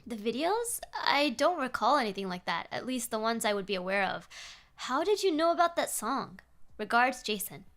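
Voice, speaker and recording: A woman's voice: a little high, big pitch swings, moderate pace, a bit bright. A weary former rock musician, read by a woman, speaks up in a horror story. He is blunt and exasperated, with little patience left. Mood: guarded.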